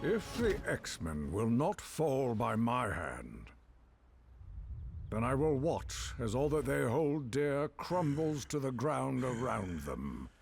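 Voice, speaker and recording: Magneto, a haughty mutant overlord. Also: male voice, mid-range voice, huge pitch swings, gravelly, soft background music, full character voice. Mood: menacing. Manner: haughty, condescending, measured. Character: commanding older male mutant master of magnetism. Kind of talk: video game cutscene voice acting. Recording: game audio under loud score.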